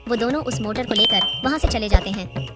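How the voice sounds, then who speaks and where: A woman tells a scripted children's tale in a fairly high voice. Loud music runs behind her narration. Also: expressive, a little fast. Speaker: storyteller.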